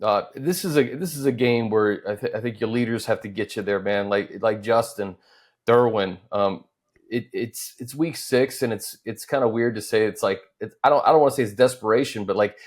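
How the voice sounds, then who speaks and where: A man hosting a conversational football show, talking in halting, choppy phrases. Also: fairly low pitch. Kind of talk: conversational sports talk.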